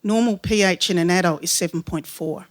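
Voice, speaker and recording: A woman's voice: lowish voice, a little fast. A woman reading a literary first-person story, giving voice to its dialogue. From Western Sydney, Australia. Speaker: writer reading own story aloud.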